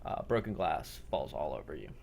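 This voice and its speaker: A man narrating a role-play game as game master, speaking in a halting, deliberate way. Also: extremely low pitch, sing-song, gravelly, darkish tone, slight background noise.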